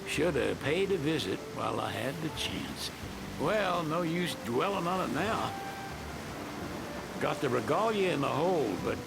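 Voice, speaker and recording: A weathered old boatman from a video game, wistful and folksy. His Southern American drawl is unhurried and full of fry, sitting a little high for an old man. Loud music plays underneath. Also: big pitch swings, very noisy, full character voice. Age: older. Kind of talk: video game cutscene acting.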